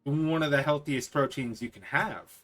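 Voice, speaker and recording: A man's voice: mid-range voice, normal speed, fairly bright tone. A man hosting a casual chat show, teasing his guests bluntly and swearing freely. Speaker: podcast host.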